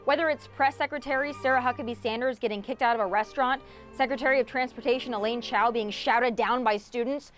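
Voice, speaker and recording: A woman's voice: on the high side, steady tone, quick pace, faint music behind. A woman delivering scripted political commentary in an indignant, scolding way.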